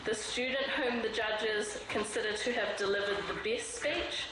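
A woman's voice: mid-range voice, normal speed. A woman acting as MC, making formal announcements at a speech event. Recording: echoey hall with background noise.

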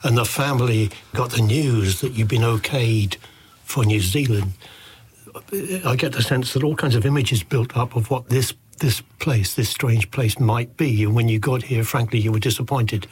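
A man hosting a podcast interview, with a deep, comforting voice, asking long, measured and thoughtful questions. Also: slightly rough. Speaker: podcast host. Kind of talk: interviewing a guest.

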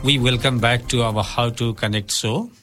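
A man co-hosting a community radio interview in a studio, reading questions formally in slightly halting English. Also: medium pitch, steady tone, music in the background. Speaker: radio show co-host.

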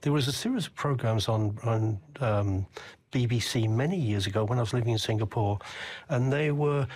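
A man's voice: mid-range voice, normal speed, darkish tone. An older man from the UK, interviewing a guest with rambling, reflective questions. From United Kingdom. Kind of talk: conversational interview.

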